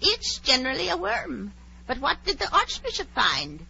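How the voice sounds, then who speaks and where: A woman's voice: high voice, wildly swooping pitch, normal speed, gravelly, sharp tone, exaggerated voice. A woman voicing a stubborn, argumentative bird with a snappish, contrary manner, in a 1940s radio drama. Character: bossy, stubborn, argumentative parrot-like lory bird. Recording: dull, noisy 1940s radio recording.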